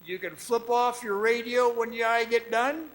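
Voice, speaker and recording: A man playing a haunted, guarded miner in a radio drama, telling his ordeal in a folksy, halting way. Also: very high-pitched, fairly even intonation, fairly bright tone, poor audio. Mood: guarded, defiant. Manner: folksy, deliberate, halting storytelling.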